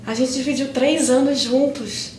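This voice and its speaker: A young actress with a Brazilian accent playing an emotional woman pleading with a long-lost friend in improvised theatre, speaking haltingly with pauses. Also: high voice, fairly even intonation, darkish tone. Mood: tentative, pleading. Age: young adult. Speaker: stage actress. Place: indoor theatre stage. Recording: roomy, distant, noisy stage recording.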